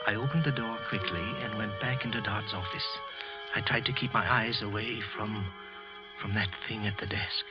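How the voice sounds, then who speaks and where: An actor playing a guilty, nervous office worker in a vintage radio thriller, speaking in a deliberate, intense, brooding manner. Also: male voice, medium pitch, close to an everyday voice. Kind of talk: old-time radio thriller acting, first-person narration. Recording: muffled vintage radio recording with music.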